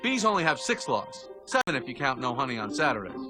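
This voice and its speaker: Animated wisecracking young male bee, played by a man. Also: mid-range voice, fast talker, over music, natural voice. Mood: explanatory. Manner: wry, conversational, excitable. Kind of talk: animated game voice acting.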